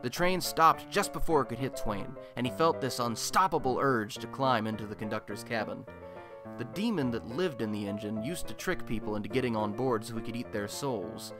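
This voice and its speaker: A man's voice: mid-range voice, moderate pace, over music, lightly acted. A man playing a chatty, wry radio host in a superhero city, telling scripted comic stories in a colloquial, theatrical way for a radio play. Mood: dramatic, amused storytelling.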